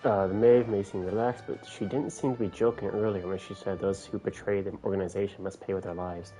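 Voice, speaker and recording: A man with a lowish voice streams a game with casual commentary. He thinks aloud in a halting way, with faint music playing behind him. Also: steady tone, dark tone, low-quality recording. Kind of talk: casual play-along commentary, reading game lines aloud.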